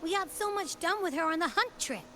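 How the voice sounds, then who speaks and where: A woman voicing a peppy, loyal young girl companion in a video game, with a casual, slangy, expressive way of talking. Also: very high voice, brisk, slight background noise. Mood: insistent, earnest. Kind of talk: video game story dialogue.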